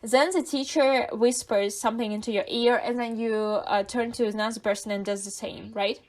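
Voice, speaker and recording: A woman with a Russian accent, a native Russian speaker, co-hosting a podcast in casual chat, thoughtful and pausing with fillers as she searches for words. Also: fairly high pitch, steady tone. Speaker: podcast co-host.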